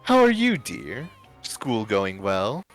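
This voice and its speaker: Older rich socialite woman, played by a male reader: gushing politeness with a vicious edge, highly animated pitch, story narration. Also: slow, faint music behind, full character voice. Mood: condescending. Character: older affluent socialite woman, falsely sweet, condescending.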